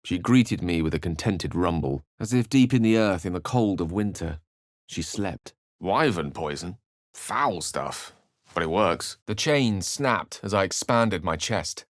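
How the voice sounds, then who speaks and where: A man's voice: low-pitched, expressive, measured pace, no room sound, studio quality. A man voicing an eloquent, bookish, theatrical wizard in a video game, speaking with refined and wry wording. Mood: solemn. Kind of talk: video game character dialogue and narration.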